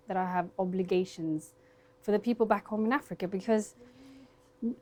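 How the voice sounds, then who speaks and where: A woman in her twenties, raised in Sweden, who works as a dentist. She speaks softly and reflectively in a documentary interview, with frequent fillers, at her dental practice. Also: mid-range voice. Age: 20s.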